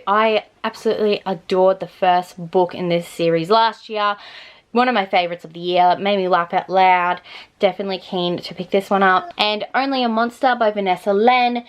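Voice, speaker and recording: A woman chatting casually to camera as a vlogger, with an enthusiastic, gushing manner. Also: a little high. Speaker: book reviewer vlogger.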